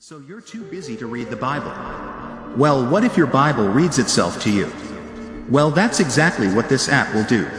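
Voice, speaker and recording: A man's voice: medium pitch, big pitch swings, moderate pace. A man reading a scripted advertisement in a conversational announcer style. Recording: reverberant announcer track. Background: music.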